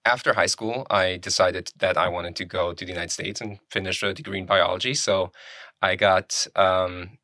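A man's voice: low voice, steady tone, normal speed, bright, forceful tone, no room sound. A man in his thirties from Germany, born in Poland, talking casually as a podcast co-host being interviewed.

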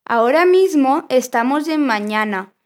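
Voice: high-pitched, animated, unhurried. A performer playing a know-it-all little boy in a children's radio play, explaining things matter-of-factly. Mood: knowing, patient. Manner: explanatory, sure of himself.

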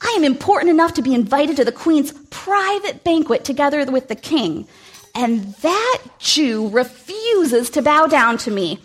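A woman's voice: high voice, expressive, slow pace, fairly bright tone, full character voice. A woman voicing a proud, scheming male royal minister who sounds smug and gloating. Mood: resentful. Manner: resentful, then smug and gloating.

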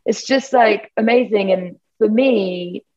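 A woman's voice: medium pitch, slow pace, lo-fi sound, crowd noise. A woman with a British accent, a guest musician chatting casually and enthusiastically in an interview, rambling with lots of "like" fillers.

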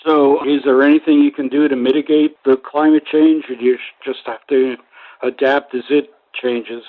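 A man hosting an informal radio interview, phrasing things in a halting, hesitant way. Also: medium pitch, little pitch movement, narrow, tinny audio. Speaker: radio interview show host.

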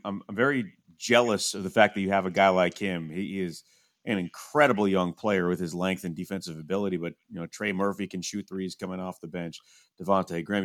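A man's voice: deep voice, fairly bright tone, no echo, studio quality. A man hosting a casual sports interview as the interviewer. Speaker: sports podcast host, interviewer.

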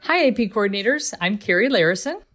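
A woman's voice: medium pitch, lively intonation, fast, fairly bright tone. A woman reading a scripted promotional segment in a friendly, read-aloud manner. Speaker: online community moderator.

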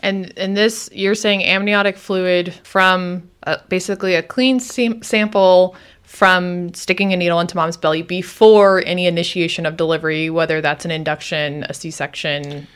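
A woman's voice: lowish voice, fairly even intonation, moderate pace, few pauses, fairly bright tone, clear, resonant tone. A woman co-hosting a conversational discussion, recapping what was said and asking questions to check it. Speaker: podcast co-host asking questions.

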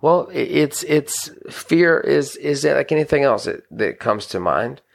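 Pastor, male, mid-pitched gravelly voice, earnest and chatty, trips over his words. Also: lively intonation, unhurried. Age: adult, married. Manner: earnest, conversational, frequent restarts and "you know". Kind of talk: devotional podcast teaching.

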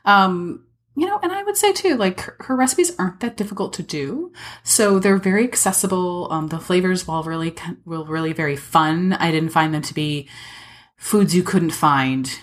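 A woman in casual chat, animated and full of fillers, leading the discussion. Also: on the low side, normal speed. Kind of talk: casual chat about cookbooks.

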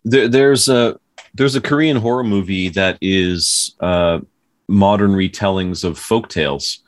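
Low-voiced man casually retelling folktales. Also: a little slow. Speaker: podcast co-host.

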